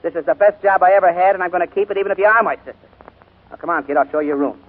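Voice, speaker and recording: An actor playing a grumbling, slangy American butler and exasperated big brother in a 1940s radio drama. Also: male voice, high voice, rapid-fire. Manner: slangy, gruff, wisecracking. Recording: tinny, noisy 1940s radio recording.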